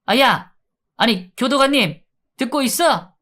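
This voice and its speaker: A man voicing a sneering, wheedling male convict in drug withdrawal, who taunts and then begs with drawn-out sighs. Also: very high voice, expressive, pushed and bright, voice pushed up, full character voice. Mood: pleading.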